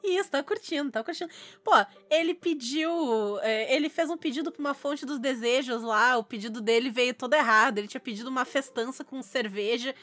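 A woman with a southern Brazilian accent from Rio Grande do Sul, co-hosting a casual podcast and telling anecdotes in animated, slangy speech. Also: sits high, fairly quick. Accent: southern Brazilian Portuguese from Rio Grande do Sul. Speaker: podcast co-host. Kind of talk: casual chat, storytelling.

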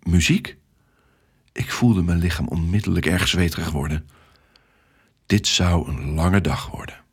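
A man with a low, husky voice narrates a story for children in the first person. He is expressive and dramatic, dropping into hushed asides as he acts out the tale. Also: moderate pace.

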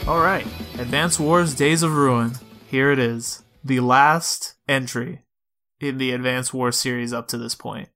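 A man with a bright, forceful voice co-hosts a video game podcast. He speaks informally, in a casual conversational style, at a middling pitch. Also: steady tone, unhurried, faint music behind. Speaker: podcast co-host.

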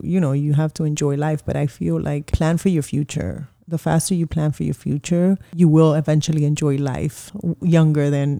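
A woman's voice: deep voice, creaky, warm tone. A woman in her forties, a small business owner, chatting casually and rambling with lots of fillers in an interview. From Colombia.

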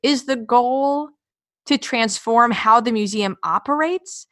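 A woman's voice: on the high side, slow, fairly bright tone, dry recording, clean studio sound. A woman hosting an interview podcast, putting prepared questions and introductions to her guests.

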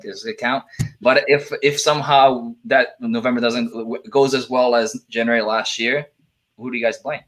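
A man with a non-native English accent chatting about sports on a podcast, excitable, swearing and restarting his sentences. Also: mid-range voice, normal speed, rough recording. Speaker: podcast panelist. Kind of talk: casual sports chat.

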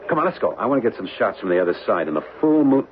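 An actor playing an American husband and tourist photographer in an old-time radio drama, talking haltingly and reassuringly as he brushes off worries. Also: lowish voice, very animated. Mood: casual, reassuring. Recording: muffled, noisy old radio broadcast.

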